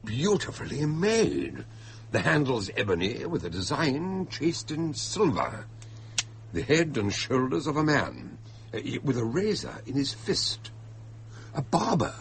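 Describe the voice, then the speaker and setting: An actor playing an anxious, cautious gentlemanly doctor who narrates and takes part in a radio mystery, grave and measured. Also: male voice, mid-range voice, huge pitch swings, gravelly, a little hiss or hum, full character voice. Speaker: narrator and character. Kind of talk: radio drama narration and dialogue.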